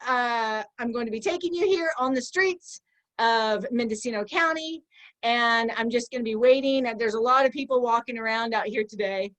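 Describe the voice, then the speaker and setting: A woman with a slightly high voice explaining an improv scene over a video call. She sounds cheerful and giggly. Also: moderate pace, fairly bright tone. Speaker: improv performer. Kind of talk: improv comedy.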